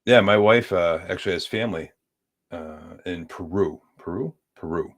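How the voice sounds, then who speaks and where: A man's voice: sits low, normal speed. A man from the United States chatting as a podcast guest, easygoing and rambling as he tells stories. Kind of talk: casual chat.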